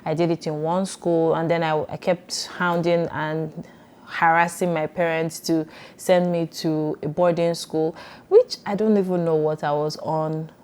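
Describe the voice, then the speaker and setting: A woman's voice: on the low side, warm tone. A young Nigerian woman vlogger with a Nigerian accent, chatting casually and rambling through personal questions. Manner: chatty, rambling, laughs often. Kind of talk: casual get-to-know-me chat.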